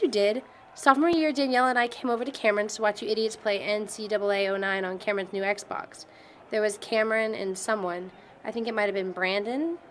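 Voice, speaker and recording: Young female student, played by an actress, talkative, informal, teasing, medium pitch, indie film dialogue, slightly noisy recording. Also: natural voice. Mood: playful, teasing. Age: young adult. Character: Sylvia, chatty young college student teasing an old friend. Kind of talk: naturalistic amateur film dialogue.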